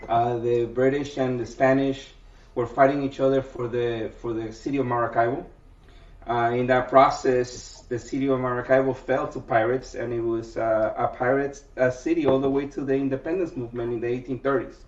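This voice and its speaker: A man born in Venezuela who grew up in Miami, a guest cook answering questions in a halting way, full of fillers and restarts. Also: mid-range voice, fairly even intonation. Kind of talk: casual interview answers. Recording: roomy, distant, dull lo-fi sound.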